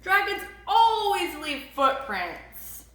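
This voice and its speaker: A woman's voice: very high voice, very animated, slow pace, a bit bright, roomy sound, voice pushed up, full character voice. A woman voicing a confident, blustery old grandfather in a storybook, declaiming emphatically and oblivious to everything. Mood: brisk, blustery. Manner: declaiming, emphatic, sure of himself. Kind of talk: storybook read-aloud.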